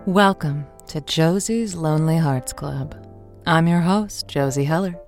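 Wistful, low-voiced romantic radio host. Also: female voice, a little slow, soft background music. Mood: sultry, yearning. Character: wistful romantic late-night radio host, sultry and self-deprecating. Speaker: radio call-in show host. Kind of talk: semi-improvised audio drama, intimate radio monologue.

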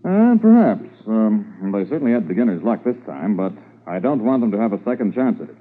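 A man playing a measured, courteous, authoritative senior police inspector in a 1950s radio drama. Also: lowish voice, sing-song. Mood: grave, instructing. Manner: deliberate, commanding but courteous. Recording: tinny 1950s radio recording.

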